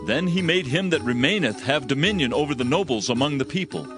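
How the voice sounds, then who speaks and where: A man's voice: mid-range voice, lively intonation, a little fast, music in the background, close to an everyday voice. A man playing a triumphant Israelite war leader, declaiming praise exultantly in a dramatized Bible reading.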